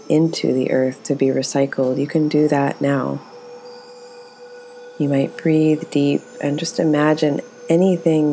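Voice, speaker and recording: A woman leading a guided meditation as its teacher, speaking in a gentle, soothing, unhurried way. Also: extremely low pitch, slight background noise.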